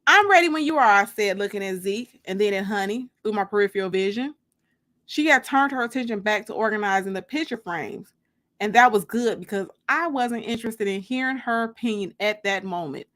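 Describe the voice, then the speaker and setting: A woman's voice: mid-range voice, fairly quick, fairly bright tone. A woman reading her own novel aloud as a dramatic first-person audiobook narration.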